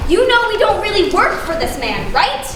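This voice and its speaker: A very high-pitched, exasperated gang boss, acted by a young woman in broad stage comedy. Her voice swoops around as she orders bumblers about, echoing heavily in a noisy hall. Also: very animated, moderate pace. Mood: exasperated, commanding. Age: teens or young adult. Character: bossy, exasperated ringleader of bumbling crooks. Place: school theater stage. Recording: very echoey stage, distant mic, noisy.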